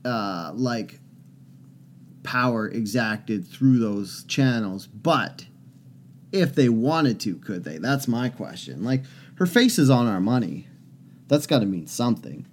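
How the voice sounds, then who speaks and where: A man's voice: medium pitch, big pitch swings, takes it slowly, slight background noise. A young man co-hosting a podcast, wry and self-deprecating, with a grumbling, curmudgeonly humor. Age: young adult. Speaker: podcast co-host. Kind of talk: casual podcast banter.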